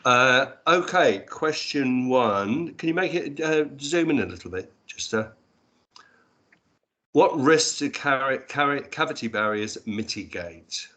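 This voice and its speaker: A man chairing an online roundtable discussion in a courteous, brisk way, calling on people and keeping things moving. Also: mid-range voice, expressive, bright, forceful tone. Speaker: meeting chair.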